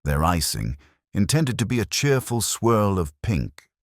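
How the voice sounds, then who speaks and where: A middle-aged man reading a scripted comic mystery story in a dry, measured, wryly grave manner. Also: on the low side, big pitch swings, no room sound. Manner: dry, measured, wry mock-gravity. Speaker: narrator.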